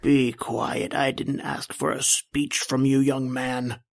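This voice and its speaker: A man's voice: mid-range voice, flat delivery, slow, creaky. A man playing a bitter, imperious, dying old uncle in an old-time radio horror play, full of menace.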